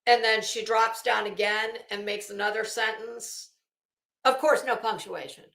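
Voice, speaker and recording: Slow-talking woman in an echoey room. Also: medium pitch, fairly even intonation, vocal fry, a bit bright. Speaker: YouTube commentator and host. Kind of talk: casual live commentary with document read-outs.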